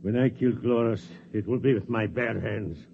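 An actor playing a hate-filled, vengeful brute of a crewman in a 1950s radio drama, with halting, threatening speech. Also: lowish voice, creaky, a little hiss or hum. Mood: menacing. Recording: tinny old radio broadcast.

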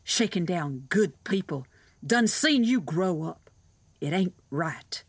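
A woman's voice: lowish voice, expressive, slow, breathy edge, dry recording, full character voice. An actress playing an embittered, defiant rural farm woman in an audio drama, with folksy, scornful speech. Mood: bitter defiance.

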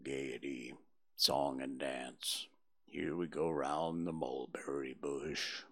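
A man voicing a gloomy old gray male donkey, speaking slowly and mournfully in a low, breathy, half-whispered voice. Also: fairly even intonation, full character voice. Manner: slow, mournful, sighing.

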